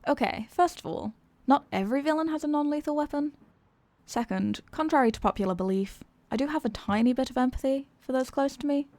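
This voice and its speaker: A woman's voice: high-pitched, fairly quick, darkish tone, dry recording. A woman playing an exasperated, sarcastic supervillain who is secretly weary of evil, in a theatrical comedic ASMR roleplay monologue. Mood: smug explaining.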